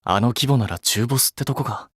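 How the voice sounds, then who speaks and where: An actor playing a blunt, practical young male idol in a game, deadpan and casual with an awkwardly kind streak. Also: mid-range voice, brisk, slightly rough, no echo, clean studio sound. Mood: dry, practical. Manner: deadpan, casual clipped speech. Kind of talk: Japanese mobile game voice acting.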